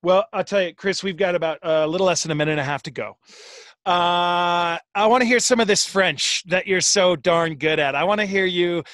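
Male host, fairly high voice, dry sound, chatty interviewer. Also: fairly quick, no room sound. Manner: chatty, storytelling, animated. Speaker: show host. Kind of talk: casual video call interview.

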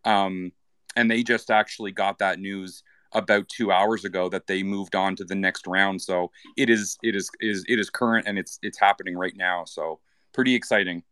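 A man from Canada with a slightly low voice talks through his thoughts in loose, rambling chat. He restarts phrases often and leans on fillers, and the sound is close and dry. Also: fairly even intonation, normal speed, a bit bright, clear, resonant tone, no room sound. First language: English. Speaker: guest. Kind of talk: casual chat in an online audio space.

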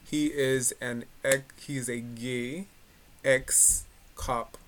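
A man co-hosting a podcast, animatedly retelling a news story with many pauses. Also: medium pitch, fairly even intonation, noisy background. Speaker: podcast co-host. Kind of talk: casual chat, recounting news.

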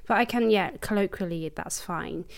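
A young woman hosting a podcast, asking questions and chatting in a relaxed way with fillers and soft laughs. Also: lowish voice, normal speed, vocal fry. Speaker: podcast host. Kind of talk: casual interview chat.

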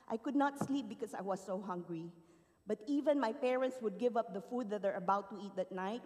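A woman from the Philippines giving an earnest, emotional personal testimony to a church congregation. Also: medium pitch. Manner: earnest, emotional storytelling with rising and falling emphasis. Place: large church auditorium. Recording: long hall reverb.

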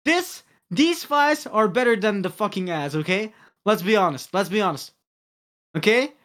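A teenage boy co-hosting a podcast over a video call, excitable, swearing and restarting his sentences. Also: high voice, moderate pace. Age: teens. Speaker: podcast co-host. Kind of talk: casual chat.

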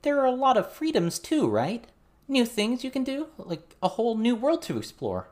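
A woman's voice: fairly high pitch, wildly swooping pitch, moderate pace, darkish tone. A woman voicing a curious, outspoken teenage girl in an earnest, informal, questioning way. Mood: eager, insistent.

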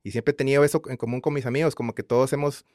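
A man in his thirties from Guatemala with a Guatemalan Spanish accent, chatting as an interview guest in a relaxed, rambling way full of fillers. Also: mid-range voice, rapid-fire, dry recording, studio quality. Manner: relaxed rambling with many fillers and repeated tags. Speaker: guest singer. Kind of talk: casual interview chat.

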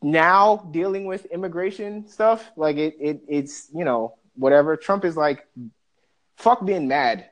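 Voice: medium pitch, unhurried. A podcast co-host from the Bahamas, ranting animatedly and profanely in a casual discussion.

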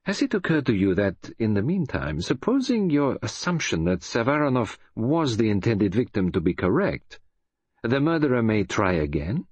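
A man's voice: lowish voice, big pitch swings, darkish tone, husky, no room sound, muffled recording. A man doing a fussy detective. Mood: teasing. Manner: precise, teasing, fastidious. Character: fussy dapper older male Belgian detective.

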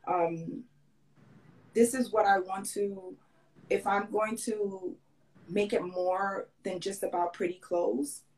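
A woman speaks in an earnest, searching way, starting sentences over and trailing off as she works out what she means. Her voice sits at a middle pitch, and she is a guest in a relaxed interview about her design work. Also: slow, rough recording. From Grenada. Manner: earnest, restarts often, trails off. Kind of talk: casual interview conversation.

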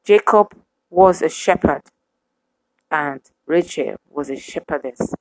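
A woman preaching a sermon to women, speaking in measured, emphatic repetitions. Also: deep voice, darkish tone, no room sound, poor audio.

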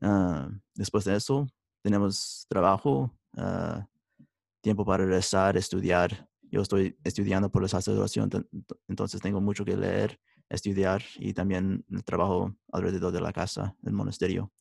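A young man, a religious brother studying for the priesthood, speaking Spanish as a third language and answering in a halting way with many restarts. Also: low voice, fairly even intonation, creaky, a little mellow, no room sound, studio quality. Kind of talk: interview answers.

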